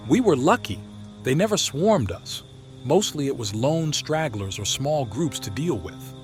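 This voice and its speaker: A man's voice: medium pitch, very animated, unhurried, music in the background. A man playing a matter-of-fact, weary leader of apocalypse survivors in an audio comic. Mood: uneasy. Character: grim, plain-spoken survivor leader of a town. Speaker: voice actor. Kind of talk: audio comic dub, dramatic dialogue.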